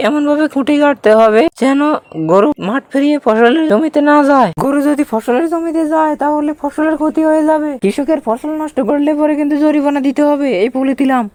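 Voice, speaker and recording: Woman as a high-pitched mother, firm, caring, instructive, cartoon village character. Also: a little mellow, no echo, lightly acted. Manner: instructive, warning. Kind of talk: cartoon voice acting.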